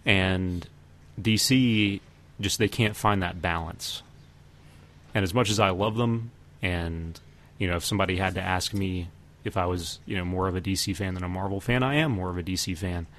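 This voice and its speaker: A man's voice: deep voice, moderate pace, a bit bright. A man talking casually as a podcast co-host, thinking aloud with some hesitation. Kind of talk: casual film discussion.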